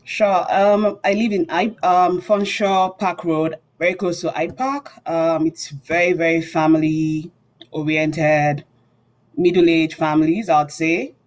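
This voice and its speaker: A woman's voice: fairly low pitch, fairly even intonation, slow. A woman, around her thirties, introducing herself and sharing experience informally as a student panelist.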